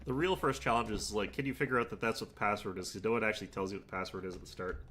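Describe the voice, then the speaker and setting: A man's voice: fairly low pitch, racing, a bit bright, a little hiss or hum. A man with a stuffed-up nose, casually talking to himself while playing a game as a streamer. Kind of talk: casual gameplay commentary.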